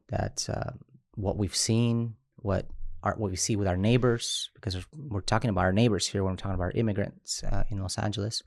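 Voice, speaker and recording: A man in his thirties from Mexico, an immigrant rights organizer speaking in an interview, halting with frequent restarts. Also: on the low side, vocal fry, no room sound, clean studio sound. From Chiapas, Mexico. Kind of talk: interview conversation.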